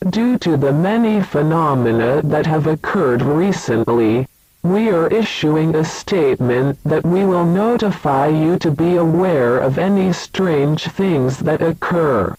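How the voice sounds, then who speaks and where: A man delivers a formal emergency alert in a fairly high voice. He reads very slowly, with a husky edge to the sound. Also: lively intonation, darkish tone, no room sound, rough recording. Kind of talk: scripted emergency broadcast announcement.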